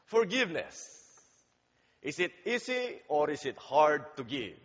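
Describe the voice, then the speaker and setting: A man in a somewhat high voice gives a sermon at an unhurried, deliberate pace, stumbling now and then over fillers. His story climbs in force as it builds. The sound is dull and carries a long reverb through the church hall. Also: very animated, husky. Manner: slow, deliberate, halting delivery with fillers. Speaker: guest preacher.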